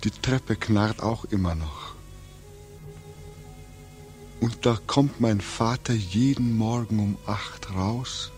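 An actor playing a homesick, brooding young man back from war in a 1940s radio drama, with halting, repetitive, anguished speech. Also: on the low side, a little mellow. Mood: hushed, hopeful. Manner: halting, repetitive, swinging into agitated questioning. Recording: 1940s radio recording, music behind.

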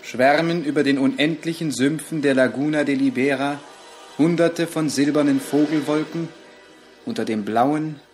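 An actor as a poetic narrator telling a scripted radio drama. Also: male voice, fairly high pitch, normal speed, darkish tone, natural voice. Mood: lyrical. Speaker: narrator in a chorus. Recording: plucked guitar music under the voice.

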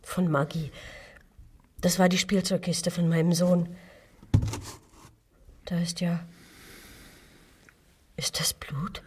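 An actress playing a suspect's intimidated, distressed wife in a radio drama, hesitant and submissive. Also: female voice, fairly low pitch, fairly even intonation, slow, long pauses, slight background noise. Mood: uneasy. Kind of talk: German radio play dialogue. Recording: voice off-mic, somewhat distant.

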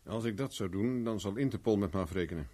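An actor playing a captive private investigator in a 1970s radio drama, wry and cheeky, answering with dry nonchalance. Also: male voice, on the low side, lively intonation, fast talker, warm tone. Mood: defiant, dry. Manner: dryly sardonic, nonchalant. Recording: faint noise on old radio recording.

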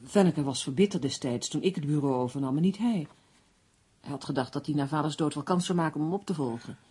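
Earnest novelist under threat, mellow and quite raspy, serious radio drama. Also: female voice, sits low, fast. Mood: dismissive. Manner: serious, insistent. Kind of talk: Dutch radio play acting.

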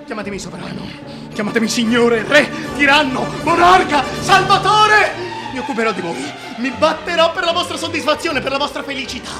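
A man's voice: very high-pitched, expressive, a little fast, music in the background. An actor playing a vain, deformed, megalomaniac usurper king in a declamatory theatrical monologue. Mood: grandiose, exultant. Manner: declamatory, grandiose, self-adoring.

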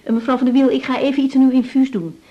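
An actress playing a young female doctor in a radio drama, polite, gently reassuring and matter-of-fact. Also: high voice, flat delivery, rapid-fire, a little hiss or hum, natural voice. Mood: kind, reassuring. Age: young adult. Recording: dull, band-limited radio recording.

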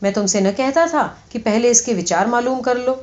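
A woman's voice: fairly high pitch, darkish tone, natural voice. A woman voicing a sceptical, sarcastic male British newspaper correspondent in a storybook, wry and slightly sour. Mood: suspicious. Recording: slightly dull, lo-fi audio.

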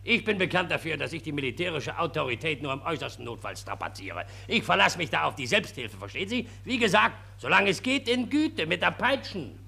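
Gruff Austrian authority figure, old radio drama; forceful, crackling male voice, smugly threatening. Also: a little high, expressive, a little fast, hardly any pauses, exaggerated voice. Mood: smug menace. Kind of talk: 1940s German radio play acting.

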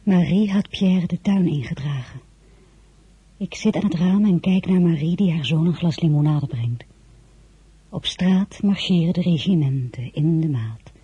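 A woman's voice: fairly low pitch, unhurried, warm tone, husky, no echo, muffled recording. An actress playing a proud, guarded lady in a radio drama, speaking in a restrained, formal way. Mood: pensive, lonely. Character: proud, guarded lady, emperor's former love. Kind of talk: dramatic radio-play acting and narration.

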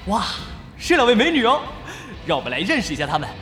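An echoey, high-pitched event host. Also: male voice, huge pitch swings, quick pace, a bit bright, full character voice. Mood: upbeat, showy. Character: upbeat young male esports event host. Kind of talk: stage announcing in an audio drama. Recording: echoey hall announcer sound, music underneath. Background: music.

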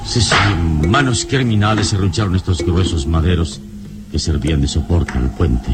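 Actor as deep-voiced, solemn heroic adventurer. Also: male voice, loud music behind the voice, lightly acted. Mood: grave, deducing. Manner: solemn, theatrical, deliberate declamation. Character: heroic male adventurer, calm, noble, resolute investigator. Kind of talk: Spanish-language radio serial acting.

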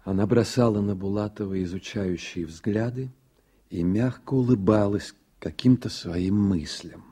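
A man narrating a literary radio drama in a measured, reflective manner. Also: on the low side, warm tone, slightly rough.

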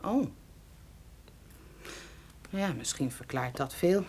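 A woman playing a sharp-tongued, sceptical partner of a detective in a Dutch radio play, emphatic and full of questions. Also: low voice, animated, slow, vocal fry, husky, heavily acted. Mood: disdainful, curious.

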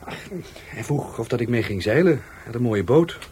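An actor with a Netherlands Dutch accent playing a touchy, defiant law-school dropout in a radio play, by turns hesitant and scornful. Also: male voice, mid-range voice, lively intonation, moderate pace, a little mellow, a little hiss or hum, lightly acted. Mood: hesitant, explaining. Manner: hesitant, then scornful and argumentative. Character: defiant, touchy young male law-school dropout, murder victim's helper.

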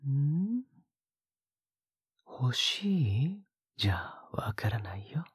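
An actor playing a possessive, doting young man in an intimate audio drama, murmuring in a soft, coaxing, teasing whisper. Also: medium pitch, sing-song, drawn-out words, slightly rough, dry recording, clean studio sound, exaggerated voice.

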